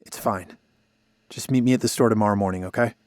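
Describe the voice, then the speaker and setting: An actor playing a broken-down radio DJ who talks in tired, clipped lines. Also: male voice, fairly low pitch, fast, a little mellow, dry recording, studio quality, natural voice. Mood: frustrated. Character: worn-down man, radio DJ, weary. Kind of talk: audio drama acting.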